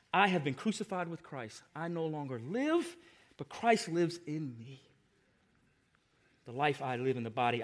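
American guy in his 40s preaching, pretty high voice, really emphatic, drops quiet then gets loud. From Long Island, New York, United States. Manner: emphatic, repeating a refrain, swinging from hushed asides to loud exclamations. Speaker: pastor preaching. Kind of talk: sermon.

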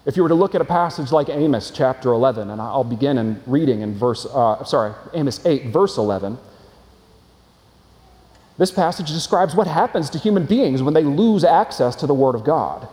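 A man's voice: mid-range voice, animated, normal speed, dark tone. A man preaching a sermon as pastor, earnest and explanatory, asking his congregation rhetorical questions. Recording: long hall reverb.

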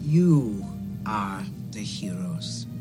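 An actress playing an elderly Austrian-born woman who hid a girl from soldiers, speaking slowly and quietly in a film scene. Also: a bass voice, expressive, full character voice. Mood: gentle, humble. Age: older. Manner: slow, quiet, deliberate storytelling. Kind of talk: film drama. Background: music.

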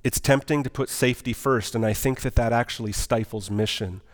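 A man's voice: medium pitch, brisk, big-room sound. A man preaching a sermon as pastor, earnest, putting questions to the congregation. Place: church auditorium.

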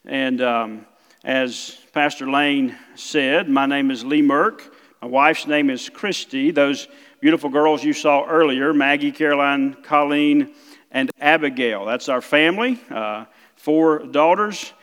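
Middle-aged South Mississippi preacher, halting delivery, slightly high voice, reverberant sanctuary. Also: male voice, a bit bright, smooth. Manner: halting, many fillers. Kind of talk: sermon. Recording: church hall reverb.

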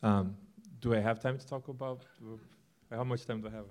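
A man from Brazil speaks with a Brazilian Portuguese accent, earnest and animated as he lays out a vision for a new church. His pitch sits in the ordinary middle range for a man, and he mixes in light self-deprecating humor. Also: moderate pace. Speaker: church planter. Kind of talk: church presentation talk.

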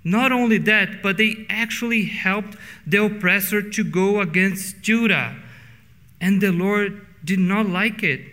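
A middle-aged man from Brazil with a Brazilian Portuguese accent, preaching a sermon earnestly and slowing down for emphasis. He speaks English as a second language. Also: high-pitched, bright, forceful tone, in a large hall. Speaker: pastor. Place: church sanctuary.

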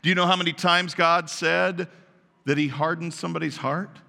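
A male pastor preaches in a naturally loud, emphatic voice, often asking his congregation questions. His voice sits high for a man and rings sharp and forceful. A long reverb trails after it, as in a large church sanctuary. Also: fairly even intonation, moderate pace, vocal fry. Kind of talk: sermon.

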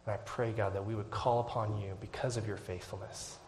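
A male pastor preaching a sermon to his church, swinging from hushed, whispered lines to loud, impassioned climaxes and closing in prayer. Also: lowish voice, fairly even intonation, a little fast, slight background noise.

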